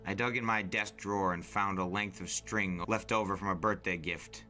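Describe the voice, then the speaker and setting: A man reading a story aloud as narrator, with expressive, suspenseful, dramatic audiobook-style delivery. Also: deep voice, normal speed. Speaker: narrator and reader. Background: music bed.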